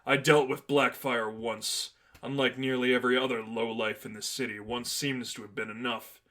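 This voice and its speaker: A man's voice: medium pitch, little pitch movement, moderate pace, a bit bright, close to an everyday voice. Man playing a masked vigilante, stern, unamused, terse, serious, superhero story reading. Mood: bitter.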